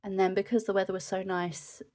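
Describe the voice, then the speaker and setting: A young woman from the UK, a scrapbooking vlogger narrating casually, her voice nasal from a cold, chatting in a relaxed way with frequent ums. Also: medium pitch, brisk. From United Kingdom.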